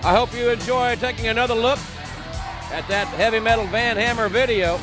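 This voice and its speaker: An American man commentates on wrestling in a very high, emphatic announcer's voice. The old TV broadcast has music and a clearly audible crowd behind him. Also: fairly quick. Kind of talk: live play-by-play sports commentary. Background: music and arena crowd.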